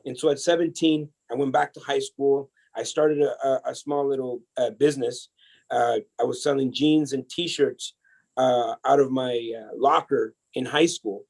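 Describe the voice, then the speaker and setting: A man from El Salvador, a podcast guest telling his life story in a casual, energetic way, often restarting his sentences. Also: mid-range voice, normal speed, creaky, rough recording. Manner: energetic, chatty, many restarts.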